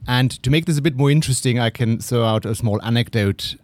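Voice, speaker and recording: A middle-aged man from Germany with a German accent, answering interview questions in a relaxed storytelling way, often trailing off quietly. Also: medium pitch, brisk, a little hiss or hum. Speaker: guest investor.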